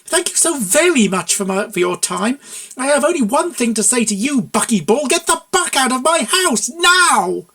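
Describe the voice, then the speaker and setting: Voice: sits high, very animated, normal speed, pitched above the performer's own voice, heavily acted. A storyteller voicing a feisty, sarcastic young cartoon pony mare who is easily exasperated, in a fan fiction reading. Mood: sarcastic anger. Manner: sharp, sarcastic, emphatic.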